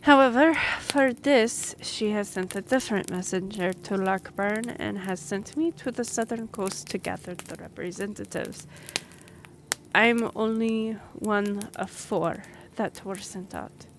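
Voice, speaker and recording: A woman's voice: medium pitch, measured pace, lightly acted. A woman playing a friendly, earnest young half-elf royal messenger in a fantasy audio roleplay, speaking a little hesitantly. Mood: earnest, explaining. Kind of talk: fantasy audio roleplay, one-sided conversation. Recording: noisy track with background ambience.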